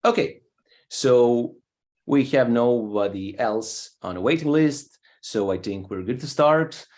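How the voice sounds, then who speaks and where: Brief: young Serbian-accented man, guest host on a video call, friendly and easygoing, mid-pitched voice. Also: takes it slowly, a little mellow. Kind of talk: live online show hosting.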